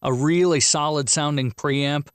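A man in an unscripted technical discussion, explaining in a measured way as an expert. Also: fairly high pitch, steady tone, clean tone, dry recording.

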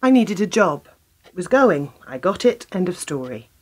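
A woman's voice: lowish voice, big pitch swings, normal speed, a little mellow, slightly rough. An actress playing a wary, sardonic young working woman in a radio play, curt, dry and challenging. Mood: irritated, sardonic.

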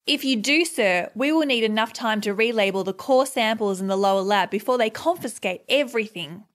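A woman's voice: on the high side, fairly quick, natural voice. A woman playing an earnest, assured lab scientist, explaining things precisely.

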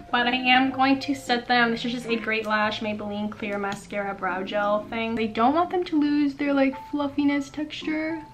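A woman's voice: high voice, fairly bright tone. A young woman in her twenties chatting through a makeup routine in her bedroom, bubbly and full of fillers and small laughs. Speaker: beauty vlogger. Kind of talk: casual makeup tutorial chat. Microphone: camera on a tripod at arm's length.

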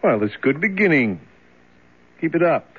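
An actor playing a cold, matter-of-fact jailer in a radio drama, curt and offhand. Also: male voice, a little high, sing-song, normal speed, fairly bright tone, breathy edge, exaggerated voice. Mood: curt, dismissive. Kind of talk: 1970s radio drama acting. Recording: thin, phone-like 1970s radio recording.

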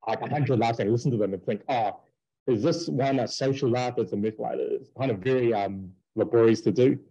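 Brief: husky male researcher, casual conference Q&A on a headset. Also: medium pitch, mellow, lo-fi sound. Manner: casual, many fillers and quick yeps. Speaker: researcher presenting a talk. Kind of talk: conference talk and Q&A. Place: indoors, small room. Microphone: headset on video call.

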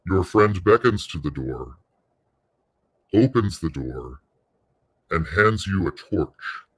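Guy with a deep low voice doing guided meditation, really slow, soothing, lots of pauses. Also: expressive, slightly rough. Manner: soothing, unhurried, pausing between short phrases.

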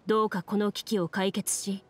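A woman's voice: mid-range voice, level tone, natural voice. A woman playing a composed game master, narrating solemnly and formally in an anime game. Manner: measured, formal. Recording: music behind the voice.

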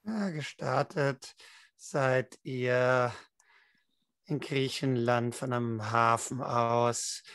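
A man's voice: medium pitch, steady tone, extremely slow, fairly bright tone, no echo. A young man narrating a tabletop roleplaying game as game master over a video call. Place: home room, online video call.

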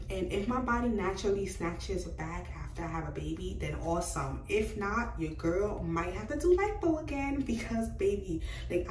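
Woman in her twenties, casual vlog talk, animated and chatty, roomy sound, a bit away from the mic. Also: mid-range voice, slight background noise. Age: 20s. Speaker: beauty vlogger. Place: small room indoors by a doorway. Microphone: camera at a distance. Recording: roomy, slightly distant sound.

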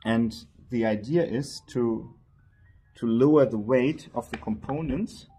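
A man with a husky, relaxed voice speaks as a company representative. He explains technical details in a measured way, as in a product interview at a trade show. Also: mid-range voice, faint music behind. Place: indoor trade show demo room.

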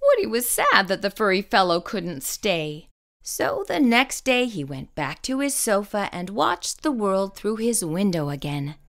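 A woman storyteller reading a children's picture book aloud, expressive and carefully phrased. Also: medium pitch, measured pace.

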